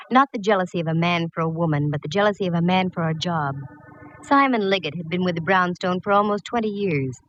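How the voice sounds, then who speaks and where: A woman's voice: on the low side, a little hiss or hum, lightly acted. An actress playing a plucky, wry female private eye, narrating in the style of a 1940s radio drama. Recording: dull, dry vintage radio recording.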